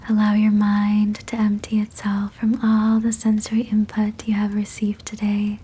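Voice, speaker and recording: A woman guiding a sleep meditation in a breathy whisper, soothing and gentle, with measured phrasing. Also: medium pitch, completely flat delivery. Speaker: sleep hypnosis guide.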